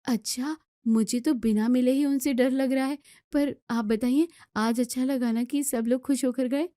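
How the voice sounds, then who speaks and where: A woman voicing a polite, eager young daughter-in-law in an Indian family story, respectful and gently persuasive. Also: sits high, steady tone, moderate pace, no room sound, clean studio sound, lightly acted.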